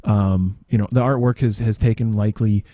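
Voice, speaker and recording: Really mellow dark-voiced guy, halting casual podcast chat, dry close sound. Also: fairly low pitch, steady tone, dry recording. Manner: hesitant, halting, searching for words. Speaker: podcast panelist.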